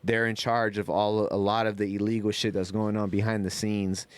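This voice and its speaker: A man's voice: fairly low pitch, little pitch movement, moderate pace, smooth, dry recording. A man talking in a casual, rambling podcast style, full of slang and cursing. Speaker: podcast co-host.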